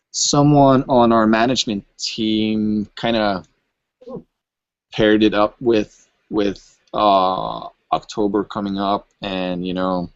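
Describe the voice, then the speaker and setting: A man speaks slowly and hesitantly, filling gaps with ums as he explains. His voice sits low. The recording is dry and low-fidelity. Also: no room sound, lo-fi sound. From Norway. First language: Norwegian. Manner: thoughtful, hesitant, many ums. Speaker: electronic music producer, guest. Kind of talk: casual interview chat.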